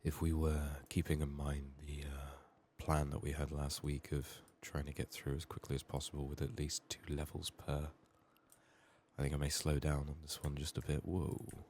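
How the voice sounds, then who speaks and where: A man giving soft-spoken ASMR game commentary, murmuring haltingly and slipping into whispers, his voice strained from being sick. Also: rumbling low, completely flat delivery, slight background noise. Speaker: ASMR gaming video host.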